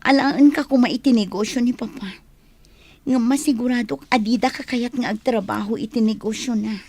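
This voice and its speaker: A woman's voice: a little high, normal speed, a little mellow. A woman acting a lovelorn, pleading young daughter in a radio drama, with an Ilocano Filipino accent. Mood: worried.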